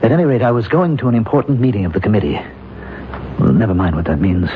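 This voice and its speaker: An actor playing a bewildered modern man trapped in another life, halting, earnest and agitated, in radio drama style. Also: on the low side, lightly acted. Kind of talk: old-time radio drama acting. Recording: muffled old radio recording with noise.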